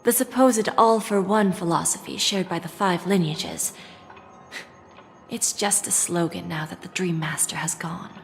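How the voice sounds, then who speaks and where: An actress playing a shrewd, composed senior corporate envoy in a video game, speaking in a measured, formal, self-assured way. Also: female voice, fairly low pitch. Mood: cool, calculating. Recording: reverberant hall, music behind.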